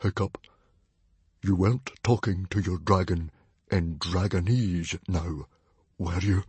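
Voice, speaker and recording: A man's voice: low voice, darkish tone, husky, no echo, exaggerated voice. A man voicing a huge, pompous Viking chief and father in a storybook, blustering and indignant. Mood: dangerously calm. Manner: bellowing, blustering, pompous, drawn-out indignant delivery. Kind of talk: character voice in storybook narration.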